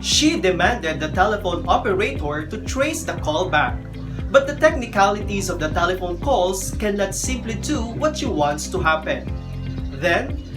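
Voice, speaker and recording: A woman with a Filipino accent narrating a scripted lesson as a teacher. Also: medium pitch. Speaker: teacher presenting a video lesson. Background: background music.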